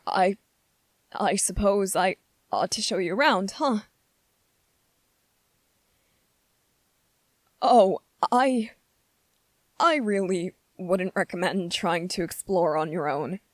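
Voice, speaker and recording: A gentle young prince played by a woman speaks slowly and haltingly, choosing courteous, formal words with an anxious care. The fantasy ASMR roleplay is recorded close and dry, with no room around the voice. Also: mid-range voice, dry recording, lightly acted. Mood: flustered, concerned. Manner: hesitant, formal, polite, halting phrasing. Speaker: voice actor in audio roleplay. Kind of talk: ASMR character roleplay, scripted fantasy monologue.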